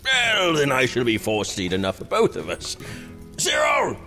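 A self-indulgent, tipsy prince who fancies himself king, with a creaky voice that swoops extravagantly. He is haughty, jovial and theatrical, as in a medieval radio play, with music underneath. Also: mid-range voice, wildly swooping pitch, moderate pace, sharp tone, heavily acted. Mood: jolly. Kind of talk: medieval audio drama acting.

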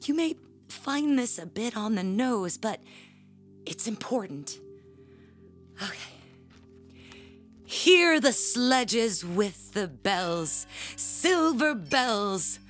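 A woman playing an earnest, nervous churchgoer in an audio drama, halting at times and speaking with formal, theatrical solemnity. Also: a little high, very animated, faint music behind, full character voice. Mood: grandiose.

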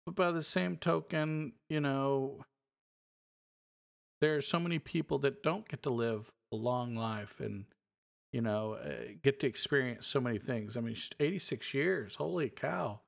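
A man's voice: mid-range voice, a little slow, creaky. Agreeable man with many fillers. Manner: agreeable, filler-heavy talker. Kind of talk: casual podcast chat.

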